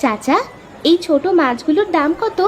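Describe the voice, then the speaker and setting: High-pitched caring young village girl voiced by a woman. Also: animated, moderate pace. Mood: earnest, wistful. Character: caring young village sisters, dutiful daughters of a sick father. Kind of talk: Bengali moral-story cartoon dubbing.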